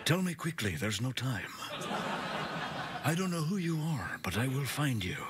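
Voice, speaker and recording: A man impersonating a grim, threatening movie tough guy with intense, deliberate delivery. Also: mid-range voice, big pitch swings, natural voice. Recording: noisy studio, loud audience laughter.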